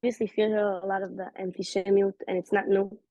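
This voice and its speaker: A woman's voice: mid-range voice, no room sound. A woman from Israel with an Israeli accent, telling personal stories in a casual talk and acting out the people she quotes. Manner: fast, animated, acts out quoted voices. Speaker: interview guest. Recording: dull lo-fi recording.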